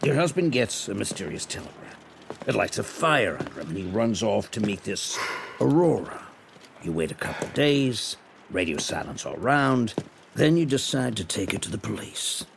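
Gravelly, sardonic noir detective. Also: male voice, fairly low pitch, sing-song, noisy background. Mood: probing, sardonic. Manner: dry, wry, measured delivery. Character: sardonic, world-weary 1930s male private detective. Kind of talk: scripted noir audio drama acting.

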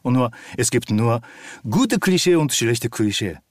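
A calm, relaxed man with a deep voice and a Japanese accent, answering interview questions hesitantly in a language not native to him. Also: measured pace, no echo, studio quality. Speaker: actor and opera singer, interview guest.

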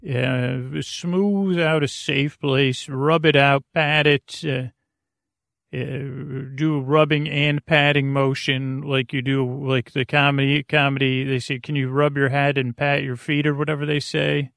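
A man with a creaky voice hosting a bedtime podcast, meandering hesitantly, mumbling and trailing off in a goofy way. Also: mid-range voice, flat delivery, a little slow, a bit bright, no room sound, studio quality. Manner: silly, goofy. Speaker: podcast host. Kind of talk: rambling bedtime podcast monologue.

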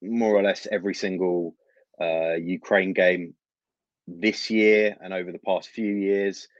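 London guy, really deep voice, explains stuff slow and steady w little laughs, muffled dry call audio. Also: no room sound. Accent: London English. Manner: measured, explanatory, with soft laughs and fillers. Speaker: football journalist guest. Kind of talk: casual interview chat.

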